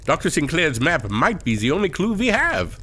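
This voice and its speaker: A man playing an anxious, formal gentleman with a German accent and a husky voice in a pulp adventure audio drama. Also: fairly high pitch, sing-song, moderate pace, heavily acted. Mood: pleading.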